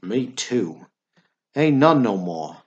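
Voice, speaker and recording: A man playing a gruff, weary, laconic in a stage drama, with a terse, deadpan delivery. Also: medium pitch, takes it slowly, lo-fi sound. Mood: dry, weary.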